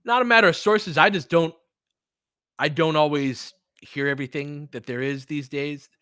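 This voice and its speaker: A man's voice: fairly high pitch, moderate pace, bright, forceful tone, smooth, no room sound, studio quality. A man hosting a live sports stream, chatting casually and informally, thinking aloud as he gives his commentary. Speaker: sports podcast host. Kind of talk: casual live basketball commentary, replying to chat.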